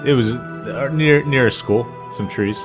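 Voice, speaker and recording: A man chatting casually in a hesitant, low-key way. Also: measured pace. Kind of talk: casual chat. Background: ambient Halloween music.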